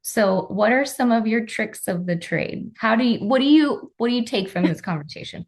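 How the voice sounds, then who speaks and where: A woman's voice: on the high side, expressive, moderate pace. A woman hosting a workplace podcast, leading a casual chat with friendly, self-deprecating remarks. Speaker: podcast host.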